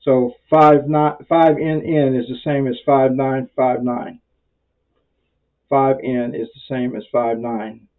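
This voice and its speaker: A man's voice: medium pitch, level tone, takes it slowly, narrow, tinny audio. American man teaching informally, rambling delivery. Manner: rambling, conversational, self-deprecating. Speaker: amateur radio instructor. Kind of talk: informal spoken tutorial.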